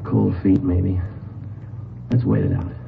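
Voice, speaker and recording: A man's voice: low-pitched, sing-song, slow, creaky, exaggerated voice. An actor playing a terse male police sergeant who also narrates, in clipped, matter-of-fact 1950s radio drama style. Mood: hushed. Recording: tinny old radio broadcast with music.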